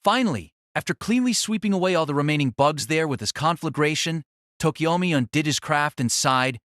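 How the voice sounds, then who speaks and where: A man's voice: fairly high pitch, lively intonation, fairly quick, a bit bright, no echo, studio quality. A man reading scripted novel narration for an audio drama.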